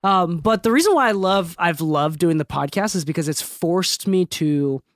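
A man's voice: sits high, lively intonation, normal speed, no room sound, clean studio sound. A man hosting a podcast whose first language is Japanese, chatting casually and thinking aloud with lots of fillers. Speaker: podcast host. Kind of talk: casual chat.

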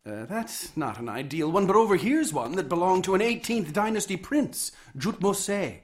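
A man's voice: high voice, lively intonation, moderate pace. An actor in a radio drama playing a mild, scholarly male professor who is polite, pedantic and a little hesitant.

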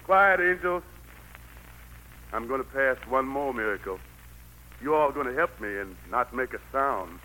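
A man's voice: mid-range voice, lively intonation, a little slow, pauses often, bright, forceful tone. An actor playing God as a genial, fatherly country patriarch with a Southern US accent and a folksy, commanding manner in an old radio play. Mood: solemn, instructing. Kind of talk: 1940s radio play acting. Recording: noisy old radio recording.

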